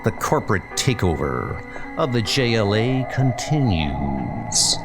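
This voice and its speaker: Man acting a smug, slow-talking villain mastermind. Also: on the low side, big pitch swings, music in the background, heavily acted. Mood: gloating. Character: scheming male villain mastermind, smug and gloating. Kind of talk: comic book dub acting.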